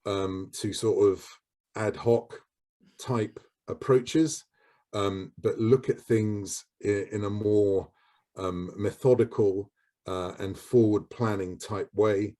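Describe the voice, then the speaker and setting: A man's voice: fairly low pitch, fairly even intonation, slow pace, dark tone. A man answering questions on a video call panel, hesitant and full of "um"s. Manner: hesitant, many ums.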